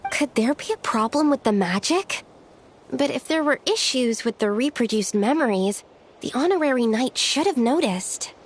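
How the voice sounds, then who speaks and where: A woman's voice: high-pitched, lively intonation, moderate pace, slight background noise. An actress playing an earnest young woman companion who asks concerned, polite questions in game dialogue. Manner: concerned, inquisitive.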